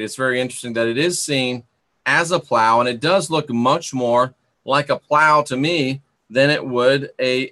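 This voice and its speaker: Bright, forceful man rambling to viewers. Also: mid-range voice. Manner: rambling, repeats points for emphasis. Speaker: host of an esoteric talk livestream. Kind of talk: informal live commentary, reading aloud and musing to viewers.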